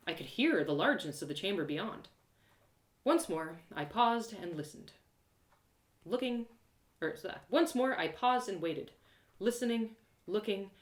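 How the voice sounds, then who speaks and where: A woman narrating a first-person story in an animated, theatrical way, with dramatic pauses. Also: on the low side, echoey room. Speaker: storyteller performing an audio drama live.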